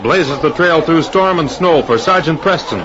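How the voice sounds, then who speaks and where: A man's voice: on the high side, animated, normal speed, a crowd in the background, background noise. Dramatic 1940s radio narrator, male, muffled recording. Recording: dull old radio recording.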